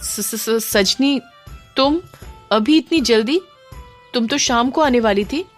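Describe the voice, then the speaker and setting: A woman voicing a flustered, excitable rustic man in a comic audio drama, hurried and grumbling, easily embarrassed. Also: a little high, faint music behind, pitched above the performer's own voice. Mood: startled, flustered. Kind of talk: Hindi audio drama character voice.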